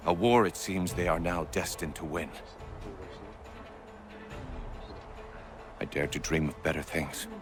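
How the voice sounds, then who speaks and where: An actor playing a weary, solemn commander of a revolutionary army, speaking in a formal, measured way in a game cutscene. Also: male voice, deep voice, over music, natural voice. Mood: despairing. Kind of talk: dramatic video game cutscene acting.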